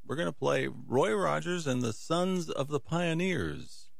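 A man's voice: a little high, very animated, measured pace, vocal fry, a little hiss or hum. A man hosting a music radio show, talking casually with chatty, musing asides.

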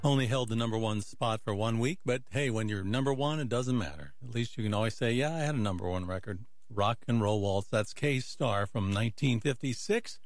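A man's voice: lowish voice, brisk, few pauses, no echo, faint music behind. Casual man hosting radio, dry close sound. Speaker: radio show host, disc jockey. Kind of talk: casual music radio chat between records.

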